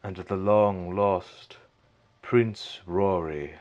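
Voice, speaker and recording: Low-voiced, gloating wicked sorcerer. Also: male voice, steady tone, darkish tone, dull audio. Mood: smug. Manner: taunting, theatrical, drawn-out delivery with sinister chuckles. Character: gloating, mocking wicked male sorcerer villain. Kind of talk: fan audio drama acting.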